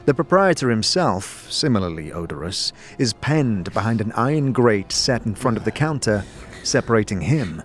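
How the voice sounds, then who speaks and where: A man narrates a fantasy audio drama in a scripted, dramatic style. His voice sits on the low side, and music plays clearly behind it. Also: big pitch swings, normal speed. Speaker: narrator and lead player.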